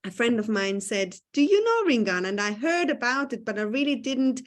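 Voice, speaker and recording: Woman, somewhat high voice. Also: sing-song, brisk. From Lower Austria. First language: German. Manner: warm, talkative, self-correcting. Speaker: co-host. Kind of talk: casual self-introduction on a video call. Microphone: video call.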